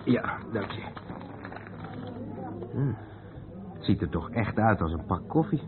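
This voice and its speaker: A noisy, narrow-band old radio play. a curious, decisive traveller with a lowish voice, matter-of-fact and ready to take charge. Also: male voice, lively intonation, slow pace. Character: curious, decisive male traveller named Dirk, eager to investigate. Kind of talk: Dutch radio play acting.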